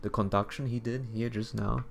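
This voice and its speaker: A man's voice: on the low side, steady tone, fairly quick, vocal fry, over music. A man game streamer giving casual, rambling commentary, often muttering asides to himself as he plays. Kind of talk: casual solo commentary while gaming.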